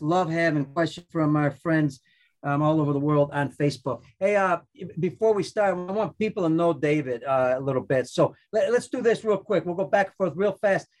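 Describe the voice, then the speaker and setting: Upbeat high-voiced podcast host man. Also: a little mellow. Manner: upbeat, rambling, restarts often. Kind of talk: casual chat.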